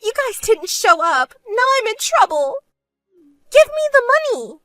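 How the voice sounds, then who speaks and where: A woman's voice: extremely high pitch, big pitch swings, measured pace, bright, forceful tone, no echo, studio quality, voice pushed up, heavily acted. A woman voicing a spoiled, selfish younger sister in a dramatized story, whiny, melodramatic and pleading. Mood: whining complaint.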